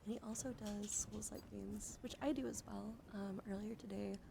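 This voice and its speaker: A woman streamer speaking softly and soothingly in an ASMR personal-attention style, often slipping into a whisper. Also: mid-range voice, fairly even intonation, normal speed. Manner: soft-spoken, often drops into whispering; gentle, soothing, coaxing. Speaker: live streamer host. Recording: noisy live stream with clear background noise. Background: background noise, dog outside.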